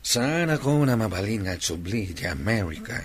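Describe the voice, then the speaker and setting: A man's voice: fairly low pitch, animated, moderate pace, slightly rough. An actor playing an evasive, stubborn man in a radio drama, with a Filipino Ilocano accent. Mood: guarded.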